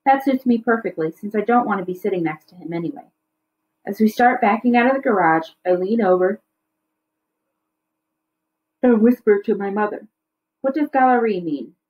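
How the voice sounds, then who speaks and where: A woman's voice: mid-range voice, moderate pace, long pauses, a little mellow, poor audio. A woman reading a children's novel aloud as a teacher would, in storybook narration.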